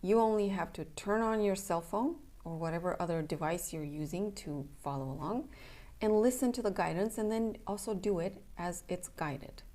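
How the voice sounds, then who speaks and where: A woman's voice: lowish voice, moderate pace, warm tone. A young adult woman from Romania, a native Romanian speaker, talking as a somatic coach in an earnest, plainspoken casual announcement. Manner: earnest, plainspoken, checks in with "okay?".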